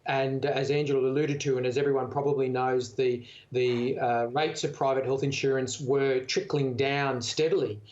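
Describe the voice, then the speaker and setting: Dull lo-fi sound, Australian man, medical spokesman, conversational interview answers, medium pitch. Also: level tone, moderate pace, hardly any pauses, a little mellow. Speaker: doctor, medical association spokesman. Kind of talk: unscripted expert interview.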